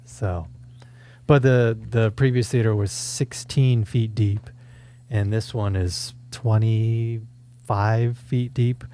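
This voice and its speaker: A man's voice: lowish voice, steady tone, slow, dark tone, a little hiss or hum. A man who hosts video reviews, chatting casually from a room at home. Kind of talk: casual chat.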